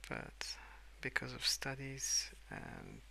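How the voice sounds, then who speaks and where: A young adult man softly rambling in a breathy half-whisper for ASMR, relaxed and unhurried, close to the microphone. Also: mid-range voice, slight background noise, low-quality recording. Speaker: ASMR video creator. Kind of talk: soft-spoken ASMR rambling while colouring.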